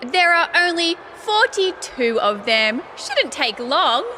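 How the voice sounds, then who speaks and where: Haughty Australian wrestling villainess, game cutscene, bright sharp voice, unhurried, condescending. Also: female voice, high voice, very animated, a little hiss or hum, heavily acted. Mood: smug. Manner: condescending, mock-polite. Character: haughty, snide young woman wrestler co-hosting talk show. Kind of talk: theatrical wrestling promo.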